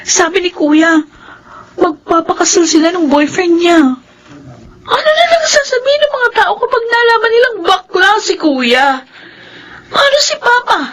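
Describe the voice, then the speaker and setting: A woman's voice: very high-pitched, very animated, measured pace, a bit bright, exaggerated voice, rough recording. A Filipino woman playing a distraught, fretful young daughter in a radio drama, with a whiny, agitated delivery. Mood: tearful panic.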